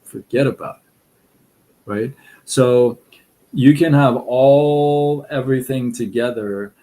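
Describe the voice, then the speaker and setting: A middle-aged man with a Persian accent talks slowly through casual live-stream advice, his voice gravelly at the edges. He rambles easily, stopping and restarting often. His pitch sits at an ordinary middle level for a man. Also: poor audio. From Iran. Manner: relaxed, rambling, frequent fillers and restarts.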